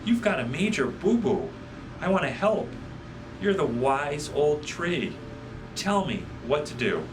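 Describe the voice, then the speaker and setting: A man's voice: on the high side, sing-song, a little slow, over music, voice pushed up, rough recording. A man voicing a feisty, protective female crow in a children's storybook, blunt and indignant, with a pushy, colloquial way of talking. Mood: worried, urging.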